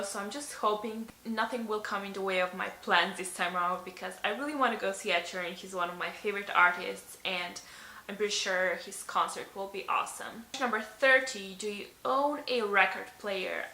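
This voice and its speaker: Young woman, Slovene native speaker, bright voice, chatty, lots of ums, echoey bedroom. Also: medium pitch. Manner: chatty, many ums and likes, laughs often. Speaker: beauty vlogger. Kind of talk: casual tag video chat. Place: bedroom, indoors.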